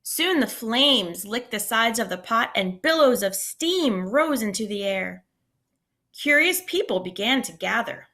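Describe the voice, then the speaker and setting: Woman, high, very lively pitch, slow unhurried reading, storybook read-aloud for kids. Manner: animated, pausing to comment to children. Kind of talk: expressive picture-book read-aloud. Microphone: screencast computer mic.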